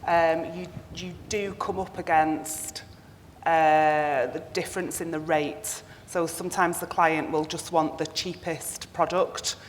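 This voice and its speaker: A woman who moderates a panel and works as a broker, brisk as she directs questions to the speakers. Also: deep voice, steady tone. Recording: echoey hall, faint noise.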